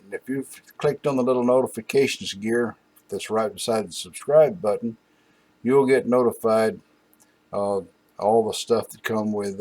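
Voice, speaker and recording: A man hosting a hobby channel, talking casually to his viewers in a folksy, unhurried and halting way. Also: fairly low pitch, darkish tone. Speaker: host of a hobby video channel. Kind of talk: casual rambling talk to viewers.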